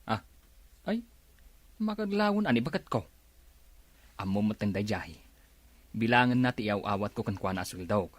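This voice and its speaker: A man's voice: fairly low pitch, expressive, normal speed, long pauses, dry recording. A man playing an evasive, irritable husband in an audio drama, defensive and grumbling. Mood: confiding. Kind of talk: Filipino audio drama acting.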